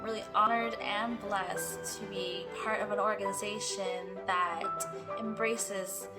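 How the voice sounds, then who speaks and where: A woman's voice: mid-range voice, steady tone, slow pace. A woman giving a personal testimonial as an employee. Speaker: agency employee. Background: loud background music.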